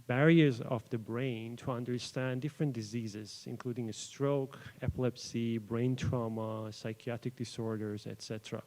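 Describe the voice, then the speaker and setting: A young man from Iran, a Persian speaker, presents research to a live audience at a summit. His voice sits at an ordinary middle pitch. His delivery is loose and informal, broken by frequent ums, uhs and restarted phrases as he speaks into a podium microphone. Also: fairly even intonation, moderate pace, hardly any pauses, a little mellow. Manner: hesitant, frequent fillers and restarts. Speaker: doctoral researcher giving a conference talk. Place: event room at a live summit. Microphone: microphone adjusted at the podium.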